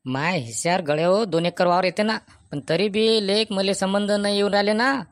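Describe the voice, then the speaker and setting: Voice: mid-range voice, fairly quick, natural voice. A performer voicing a defensive, reluctant village bachelor in a comic cartoon, complaining in colloquial Varhadi Marathi from Vidarbha. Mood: worried.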